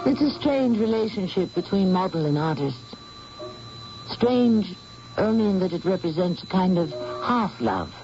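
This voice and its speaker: A man hosting and narrating an old radio mystery, speaking in a measured, ominous way. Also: sits high, very animated, gravelly. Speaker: host and narrator. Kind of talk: scripted dramatic narration. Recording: dull lo-fi old radio recording with music.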